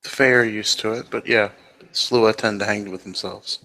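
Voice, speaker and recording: A man playing a calm, guarded Fae in a tabletop roleplay, answering in short matter-of-fact phrases. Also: on the low side, normal speed, rough recording.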